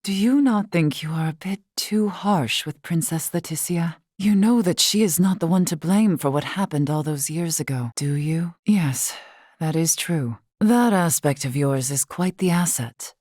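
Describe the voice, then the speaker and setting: A woman playing a wise, courtly elder of a fantasy race in a video game, speaking formally and with measured, gently teasing poise. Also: fairly low pitch, no room sound, clean studio sound. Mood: calm, guarded. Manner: formal, measured, archaic wording. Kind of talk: scripted game character dialogue.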